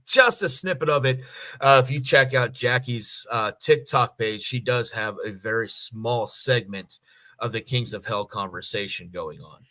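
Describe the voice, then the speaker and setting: A middle-aged man talking casually as a voice actor guest on a podcast, telling stories in an animated way. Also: medium pitch, measured pace, no echo.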